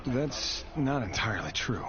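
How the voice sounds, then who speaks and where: An actor voicing a weary, earnest young man with a secret grim trade, speaking in a measured way for a video game. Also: medium pitch, big pitch swings. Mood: grave, troubled. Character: weary young man, secret royal executioner, tender but brooding. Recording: dull game audio under loud music.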